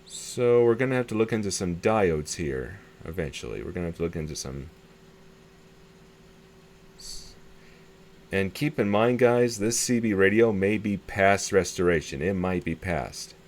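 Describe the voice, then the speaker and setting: A man's voice: low-pitched, lively intonation, unhurried, long pauses. A man talking casually and tentatively through a hands-on repair demonstration, thinking aloud and often repeating himself as a hobbyist host. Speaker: hobbyist video host showing a radio repair. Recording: clear background noise.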